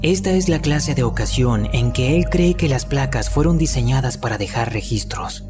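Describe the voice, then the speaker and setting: A man's voice: medium pitch, expressive, normal speed. Man narrating a documentary over music. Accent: Latin American Spanish. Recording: music behind the voice. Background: background music.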